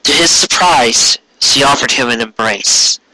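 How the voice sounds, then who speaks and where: A man reading a story aloud as an amateur narrator, in a dramatic, measured way with pauses. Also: medium pitch, bright, forceful tone, no room sound, low-quality recording. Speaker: amateur audiobook reader.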